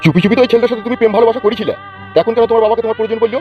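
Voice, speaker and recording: Dull, lo-fi cartoon audio with music carries a man acting as an arrogant village politician. He talks very quickly and bluntly, with a short temper and a West Bengal accent. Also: extremely high pitch. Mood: stern. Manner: self-important, scolding, blunt. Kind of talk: comic cartoon dialogue.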